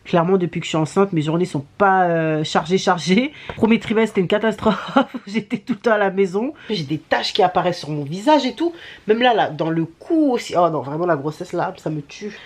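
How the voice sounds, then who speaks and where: A woman's voice: on the low side, animated, fairly quick. Candid young woman vlogger with a cold. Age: young adult. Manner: slangy, candid, grumbling self-deprecation. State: has a cold. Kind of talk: casual chatty vlog talk to camera.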